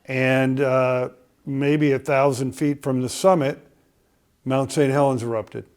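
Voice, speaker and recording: A man's voice: mid-range voice, fairly even intonation, slow pace, a little mellow, smooth. An older man from Connecticut, a podcast guest telling a long story, with many filler sounds and restarts. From Connecticut, USA.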